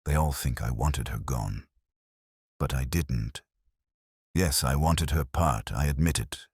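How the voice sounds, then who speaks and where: A man voicing an anxious, soft-spoken young understudy actress in an audiobook detective story, hesitant in manner. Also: very deep voice, takes it slowly, husky, dry recording, studio quality, full character voice. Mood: wistful, uneasy.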